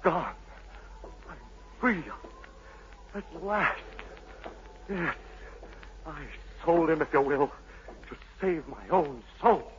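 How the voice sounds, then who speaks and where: An actor playing a tormented son on the edge of madness, overwrought and theatrical, in an old radio drama. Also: male voice, a little high, expressive, slow, long pauses. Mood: feverish relief. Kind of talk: 1940s-50s radio drama acting. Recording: muffled old radio broadcast, faint noise.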